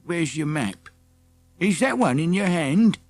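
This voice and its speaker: A man's voice: fairly high pitch, expressive, takes it slowly, darkish tone, dry recording, soft background music, voice pushed up, heavily acted. A man voicing a blunt but helpful old village shopkeeper with country phrasing in a children's audiobook. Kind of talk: children's audiobook character acting.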